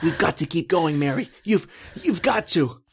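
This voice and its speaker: An actor playing a terrified, desperate man recounting his flight from a monster, in intense old-time radio horror style with drawn-out, repeating phrases. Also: on the high side, lively intonation, breathy edge, heavily acted. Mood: desperate urging. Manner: intense, breathless repetition, drawn-out dramatic phrasing. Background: music.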